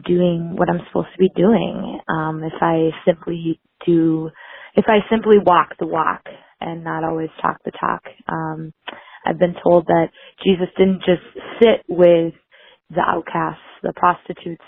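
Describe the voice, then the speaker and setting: A woman with a Wisconsin accent leaving an earnest, halting voicemail with frequent pauses and ums. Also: fairly low pitch, creaky, dry recording. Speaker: caller. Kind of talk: voicemail message. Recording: tinny phone voicemail line.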